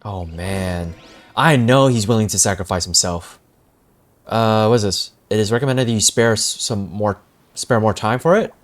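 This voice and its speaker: A man's voice: fairly low pitch, moderate pace. A man streaming his casual reactions to a video game, sometimes reading dialogue aloud. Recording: faint game music behind.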